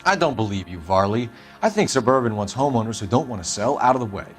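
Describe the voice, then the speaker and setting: Stern interrogating cop for a period crime game, male actor; accusatory, animated delivery, mid-range voice. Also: medium pitch, fast, faint music behind, natural voice. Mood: hard, accusing. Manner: blunt, accusatory. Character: stern, accusing 1940s police detective interrogating suspects. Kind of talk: dramatic video game interrogation acting.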